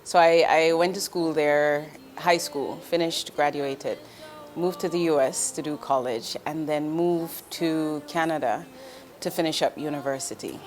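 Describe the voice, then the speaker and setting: A woman's voice: sits low, steady tone, a little slow, darkish tone. A woman in her forties, from Jamaica, speaking as an investment executive in an interview, earnest and direct, with ums and restarts. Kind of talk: candid interview answers.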